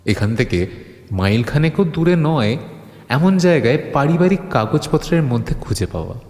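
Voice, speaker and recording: A man playing a grave first-person narrator of a horror audio story, solemn and halting, drawing out phrases for suspense. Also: on the high side, big pitch swings, a little mellow. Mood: grave, ominous. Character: grave male narrator recounting old forbidden horrors to his friend. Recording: long reverb on the voice.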